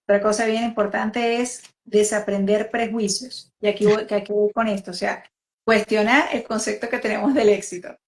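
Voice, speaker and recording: A woman born in Venezuela hosting a talk, speaking reflectively and with measured restarts about raising her children. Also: mid-range voice, fairly even intonation. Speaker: host, mother. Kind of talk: conversational talk.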